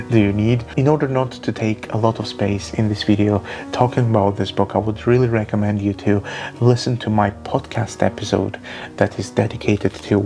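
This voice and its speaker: Young guy w talking books, gravelly low voice, lots of ums and restarts. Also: a little mellow. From Armenia. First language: Russian. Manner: thoughtful, earnest, with frequent fillers and restarts. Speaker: book vlogger. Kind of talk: casual book recommendations.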